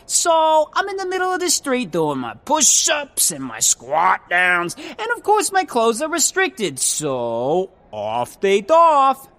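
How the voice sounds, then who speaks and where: A high-voiced, boastful speedy jogger in a comic audio drama. The pitch leaps wildly all over as the little braggart jabbers away in excitable, slangy bursts. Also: female voice, takes it slowly, few pauses, exaggerated voice. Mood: excitable, rambling. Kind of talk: comedic audio drama acting.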